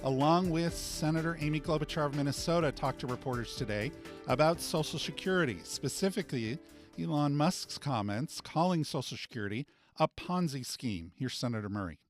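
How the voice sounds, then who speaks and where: A male radio news host reads scripted links between segments in a smooth, measured voice. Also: on the high side, lively intonation, faint music behind.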